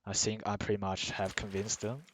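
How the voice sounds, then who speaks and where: A man's voice: on the low side, little pitch movement, a little fast, background noise. A young man from Sweden with a Swedish accent, streaming a game and trolling other players with smug, mock-formal taunts. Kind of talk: trolling game-chat banter.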